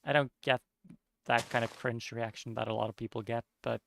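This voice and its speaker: Mumbling gravelly man, Norwegian accent. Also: mid-range voice, a little fast, slight background noise. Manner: somewhat monotone. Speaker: streamer. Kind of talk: casual chat while gaming.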